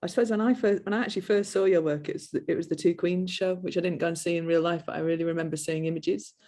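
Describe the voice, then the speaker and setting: A woman's voice: fairly low pitch, steady tone, quick pace, warm tone. A woman interviewing an artist in casual conversation, hesitant and restarting her questions.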